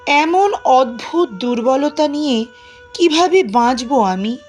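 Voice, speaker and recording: A woman giving an expressive, dramatic poetry recitation in a plaintive, emotive manner with drawn-out pauses. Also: high-pitched. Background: music.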